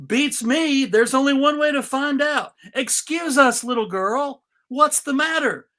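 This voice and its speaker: Guy doing a earnest bossy cartoon girl, pitched up, bright and forceful. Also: unhurried, full character voice. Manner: earnest, insistent. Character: helpful, insistent young cartoon girl.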